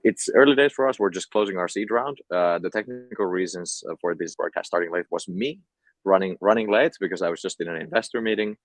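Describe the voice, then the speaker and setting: A man's voice: deep voice, fast, dry recording. A young man from Finland with a Finnish accent, talking casually as an entrepreneur guest in an interview. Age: young adult.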